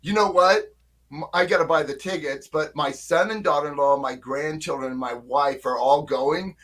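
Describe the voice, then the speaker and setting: An older man with a slightly raised voice talks at length in a relaxed interview. He is emphatic and keeps checking in with his listener as he explains how his agency works. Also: fairly high pitch, normal speed. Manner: talkative, emphatic, frequent "right?" check-ins. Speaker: marketing agency owner. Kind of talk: casual interview chat.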